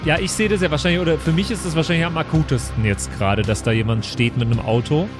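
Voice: mid-range voice, fast talker. A person playing a tabletop game, improvising casual banter in character. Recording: music behind the voice. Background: music.

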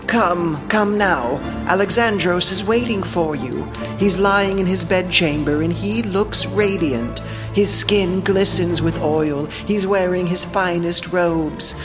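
A woman's voice: fairly low pitch, slow pace, music in the background. A woman playing a goddess disguised as a coaxing old crone, whispering in an audio drama. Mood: coaxing, alluring.

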